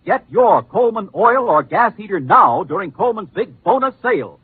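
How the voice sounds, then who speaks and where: A man's voice: high voice, sing-song, normal speed, breathy edge, no room sound. A man announcing a scripted vintage radio commercial with an emphatic sales delivery. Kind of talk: 1940s-50s scripted radio advertising. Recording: tinny, narrow old radio recording.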